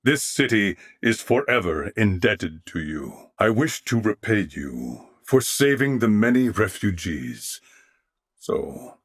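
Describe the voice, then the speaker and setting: A man's voice: lowish voice, a bit bright, slightly rough, dry recording, studio quality, full character voice. A man voicing a noble, solemn alien warrior in a video game, speaking formally and courteously. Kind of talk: video game character voice acting.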